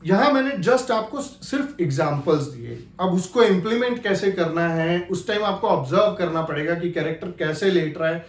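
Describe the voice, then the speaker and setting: A man's voice: high voice, lively intonation, normal speed, hardly any pauses, roomy sound. A man giving an instructional talk as an acting coach, explaining emphatically. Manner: explanatory, emphatic, repeats key phrases to demonstrate.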